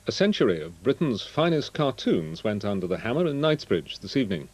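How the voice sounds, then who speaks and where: A British man presents regional news from a script. His voice sits fairly low as he reads in the studio. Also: very animated, fairly quick.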